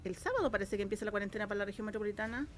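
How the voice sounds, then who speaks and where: A woman's voice: medium pitch, racing. A woman with a Chilean accent speaking as an interview guest in casual, informal chat, often repeating her words. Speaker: interview guest, radio drama writer and producer.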